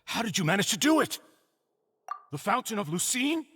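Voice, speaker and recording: A man's voice: high voice, very animated, normal speed, sharp tone, slight background noise, exaggerated voice. Frantic arrested man in a game, stammery begging, tense voice, big hall reverb. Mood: shaken, urgent. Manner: stammering, pleading. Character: desperate, pleading arrested man, anxious and obsessed. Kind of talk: dramatic video game voice acting.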